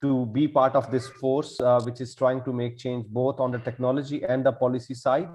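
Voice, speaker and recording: A man giving unscripted remarks as a panelist, halting and repeating words as he goes. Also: mid-range voice, little pitch movement, darkish tone. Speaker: panelist from the organization.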